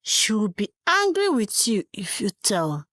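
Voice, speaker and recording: Hushed, coaxing uncle for a read-aloud story, put on by a woman narrator; slow, soft delivery. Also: medium pitch, wildly swooping pitch, no echo, studio quality. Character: adult man, soft-spoken coaxing uncle.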